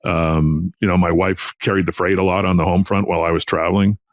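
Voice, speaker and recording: A man's voice: low-pitched, level tone, brisk, no echo. A middle-aged man reminiscing casually as an interview guest, in a rambling way peppered with "you know". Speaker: interview guest, veteran sports journalist.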